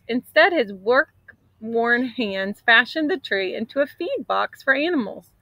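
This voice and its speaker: A woman in her thirties reads a children's picture book aloud. Her voice sits a little high and she tells the story with expressive drama. The sound is dry, recorded outdoors. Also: no echo, dull audio. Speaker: storybook reader. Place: outdoors in an open grassy area.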